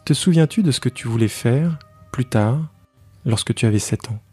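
A man with a mid-range voice hosts a coaching podcast, faint music under him. He reads a scripted intro and interviews his guest in a clear, polished presenter's manner. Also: medium pitch, expressive, moderate pace, dark tone.

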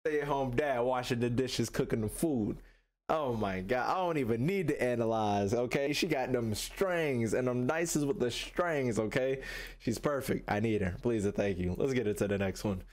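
A young American man giving casual, excited reaction commentary, slangy and playful, often repeating his phrases. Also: medium pitch, moderate pace. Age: young adult. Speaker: reaction video host. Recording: loud game music behind voice.

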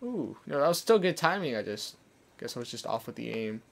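A man's voice: big pitch swings, a little fast, vocal fry. A young man giving casual, chatty commentary over his gameplay, explaining tips as he plays. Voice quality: deeper voice. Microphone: desk condenser mic. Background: soft background music.

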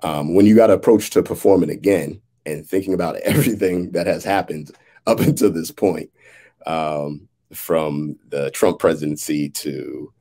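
Deep, gravelly American man, thoughtful. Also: fairly even intonation, mellow. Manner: halting, thoughtful, often checks in with "right?". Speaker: playwright. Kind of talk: reflective conversation. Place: indoors, on a video call. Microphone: video call.

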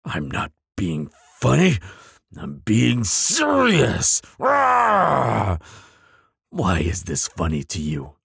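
A man voicing a proud, grumpy but soft-hearted bogeyman in an audio roleplay, with a gravelly rasp and a pompous, easily flustered delivery. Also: mid-range voice, huge pitch swings, very slow, no echo, studio quality, full character voice. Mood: exasperated. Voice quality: raspy, gravelly. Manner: pompous, flustered stammering, dramatic.

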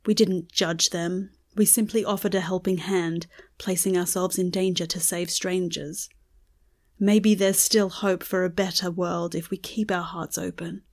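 A woman as a low-voiced, sincere storyteller. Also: steady tone, a little slow. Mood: hopeful, solemn. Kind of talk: audio drama narration.

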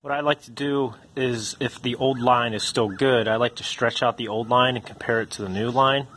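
A man's voice: medium pitch, fairly even intonation, moderate pace, a bit bright, slight background noise. A man hosting a do-it-yourself channel, walking through a repair step by step in a plainspoken, practical way. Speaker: DIY repair channel host.

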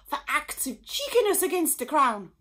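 A woman voicing an indignant old queen who speaks loudly in a high, haughty voice. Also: sing-song, normal speed, exaggerated voice. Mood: imperious.

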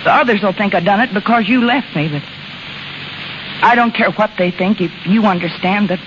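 Actress doing a worn-out broke carnival gal, folksy halting talk, muffled old radio play sound. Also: female voice, medium pitch, close to an everyday voice. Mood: weary, remorseful. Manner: folksy, ungrammatical, halting speech. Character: weary, broke, remorseful carnival woman, plain-spoken. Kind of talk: 1930s radio drama acting. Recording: muffled, crackly 1930s radio broadcast.

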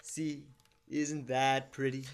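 A man's voice: mid-range voice, level tone, slow pace, clean tone. A young man playing a jovial, teasing next-door neighbor in an old-time radio comedy, with a playful, folksy manner. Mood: chatty. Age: teens to young adult. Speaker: student actor. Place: school library, indoors, live stage reading.

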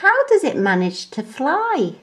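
Woman voicing an excitable high-pitched storybook boy monkey. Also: measured pace, heavily acted. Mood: alarmed wonder. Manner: exclaiming, excitable. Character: young male monkey, easily alarmed, teasing, storybook.

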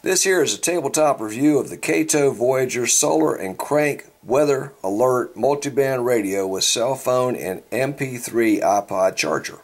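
A man hosting a casual hands-on product review, talking in a folksy, informal, halting way. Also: mid-range voice, animated. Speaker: host of a product review video channel. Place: at a tabletop, later out in a backyard. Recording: clear background noise, home camera recording.

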